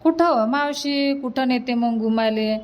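A woman's voice: high-pitched, a little slow, highs cut off. A woman voicing a homely, earnest young married niece in a cartoon comedy, with a Varhadi Marathi accent. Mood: matter-of-fact. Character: homely young married niece visiting her village family. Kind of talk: cartoon comedy dialogue in rural dialect.

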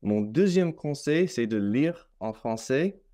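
Slow, careful young Canadian man. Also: mid-range voice, expressive, warm tone. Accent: English-speaking Canadian. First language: English. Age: young adult. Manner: slow and careful, many hesitations. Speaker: guest, French learner. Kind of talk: casual conversation in learned French. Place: small room at home. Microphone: laptop webcam mic on video call.

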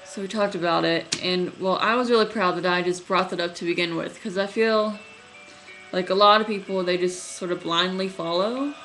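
A young woman in college who stutters, talking casually to her webcam in a small room, with halting speech, many fillers and restarts. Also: on the low side, a bit bright, soft background music, low-quality recording. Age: young adult. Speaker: vlogger. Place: small room at night, indoors. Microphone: webcam at close range.

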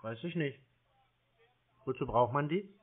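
A man's voice: mid-range voice, measured pace, breathy edge. A man answering a sales call in a laconic, clueless-sounding manner. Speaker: call recipient baiting phone scammers. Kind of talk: phone conversation. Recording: tinny phone line.

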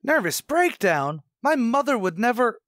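A man's voice: very high voice, big pitch swings, measured pace, a bit bright, dry recording, studio quality, exaggerated voice. Haunted man acted in a suspense radio play. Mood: panicked, disbelieving. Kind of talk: old-time radio suspense drama acting, first-person narration.

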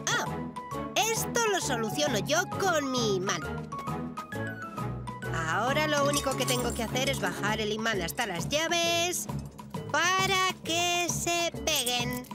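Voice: high voice, sing-song, takes it slowly, heavily acted. An actor playing an eager, boastful little boy who wants to be a firefighter, in a children's cartoon dub. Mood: proud, eager. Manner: boastful, keen. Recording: loud music behind the voice.

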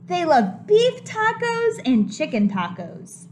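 A young woman with a high, very lively voice reads a children's picture book aloud like a preschool teacher. She takes her time, explaining things simply to little listeners. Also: takes it slowly, a little hiss or hum. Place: home kitchen, indoors.

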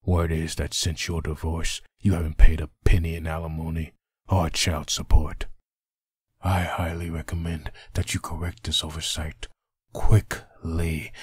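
A man playing a brooding, menacing undead vigilante in a comic dub, with a raspy voice and slow, grim delivery. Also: dry recording, clean studio sound, exaggerated voice. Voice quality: deep, raspy.

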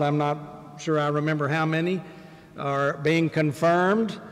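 An older man, a bishop, delivering a measured, deliberate sermon from a pulpit in a large church sanctuary. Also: on the high side. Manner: measured, deliberate preaching with pauses. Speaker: bishop preaching. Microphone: pulpit microphone, far camera. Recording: long church reverb.

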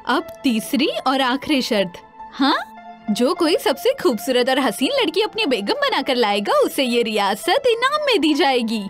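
A woman narrating a scripted fairy tale for children in an expressive, dramatized way. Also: high voice, soft background music. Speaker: storyteller.

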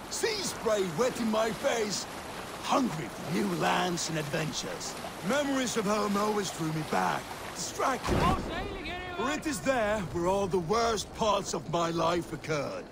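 A man playing a rough, boastful Viking raider who tells his tales in a video game, with a gravelly voice. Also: high voice, expressive, measured pace, heavily acted. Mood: wistful. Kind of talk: scripted game storytelling. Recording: clear water and boat noise.

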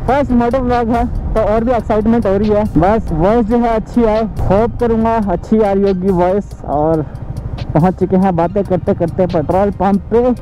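A man's voice: sits high, steady tone, normal speed, over music, poor audio. A young adult man chats casually and informally as a motorcycle vlogger, mixing in English words. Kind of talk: casual chat while riding. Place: outdoors, riding a motorcycle on city roads. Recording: helmet mic with clear road noise. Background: vehicle and road noise.

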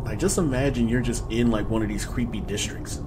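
A man in his twenties giving casual, slangy horror game commentary to his viewers in an animated, excitable way. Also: mid-range voice, a little fast, noisy background. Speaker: gaming video host. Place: small room at home, indoors.

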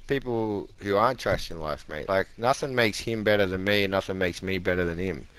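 Aggrieved gamer, swearing constantly. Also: male voice, deep voice, normal speed, a bit bright, clear, resonant tone, dry recording, low-quality recording. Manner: aggrieved, swearing, repeats himself. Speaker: stranger in game party chat. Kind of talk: heated voice chat.

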